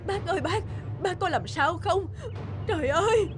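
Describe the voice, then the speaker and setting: A woman's voice: very high voice, animated, moderate pace. An actress playing an anguished, pleading mother in a cartoon, speaking emotionally. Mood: alarmed. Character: anguished, pleading mother of a hot-tempered son. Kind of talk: cartoon dubbing. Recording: clear music under the voice.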